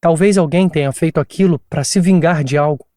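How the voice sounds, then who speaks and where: A man's voice: a little high, a little fast, warm tone, no room sound, clean studio sound, natural voice. A man voicing an exhausted, traumatized woman as she recounts her abduction in a tense, pleading, weary way.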